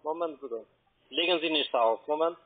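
A man speaking German with a foreign accent, a polite, halting call centre agent on a sales call. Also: fairly high pitch. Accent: non-native German. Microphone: phone call. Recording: tinny narrow telephone line.

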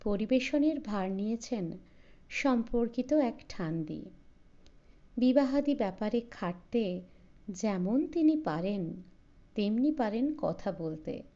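A woman's voice: mid-range voice, expressive, slow, long pauses, warm tone, lo-fi sound. A woman narrator reading a literary short story aloud in a scripted audiobook style.

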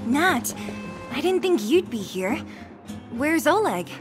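A tough young woman speaks with blunt, matter-of-fact confidence in game dialogue. Her voice sits a little high, and clear background music plays beneath it. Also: very animated, slow pace, heavily acted. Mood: surprised, concerned.

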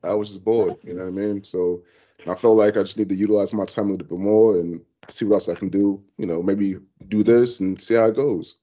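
A man in his thirties hosting a podcast, talking in a relaxed, drawling way into a studio mic in a small home room. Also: lowish voice, a little fast, dull audio. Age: 30s. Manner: laid-back, drawling, says "you know what I mean" often. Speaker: podcast host. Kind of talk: casual chat. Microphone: headset with studio mic.

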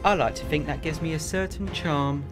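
A man's voice: a little high, steady tone, moderate pace, natural voice. Man acting a charming, scatterbrained adventurer, music behind. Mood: charming, admiring. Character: charming, apologetic, scatterbrained ageless male time-adventurer. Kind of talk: full-cast audio drama acting.

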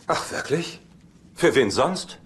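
An actor playing a cocky, teasing older brother in a dubbed sitcom, with a dry, mocking delivery. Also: male voice, a little high, lively intonation, takes it slowly. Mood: mock innocent.

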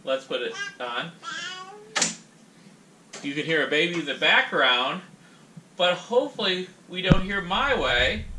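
A middle-aged man giving a casual, folksy how-to talk at home, speaking haltingly into a camera a few feet away. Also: a little high, pushed and bright, a little hiss or hum. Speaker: host demonstrating audio gear. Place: room in a house.

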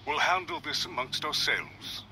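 A man's voice: fairly high pitch, expressive, a little slow, sharp tone, a little hiss or hum, full character voice. An actor playing a scheming male clan official, speaking in a haughty, emphatic and scornful way in a video game. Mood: haughty, defensive.

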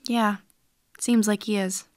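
A woman's voice: medium pitch, fairly even intonation, slow, a little mellow, no echo, studio quality, lightly acted. A woman playing an earnest, questioning girl raised in space, in a science fiction audio drama.

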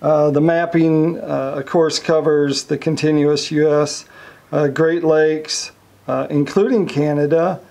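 A man with a somewhat high, gravelly voice explains a marine chart unit step by step. His delivery is relaxed and conversational, full of fillers as he clicks through menus beside a boat. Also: measured pace. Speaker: marine electronics reviewer. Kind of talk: casual product demonstration.

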